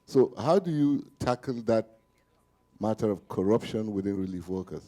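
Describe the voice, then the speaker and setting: Man in the audience, very dark mellow tone, low pitch, serious. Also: lively intonation, moderate pace. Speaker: journalist asking a question. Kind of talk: audience question at a forum. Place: conference room.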